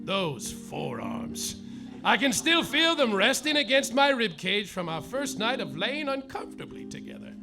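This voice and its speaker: A man playing a boozy, hard-boiled male private eye, narrating in a mock-noir deadpan that drags out its punchlines, live on a stage over music. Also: sits high, creaky. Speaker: comedic detective narrator. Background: live music and audience laughter.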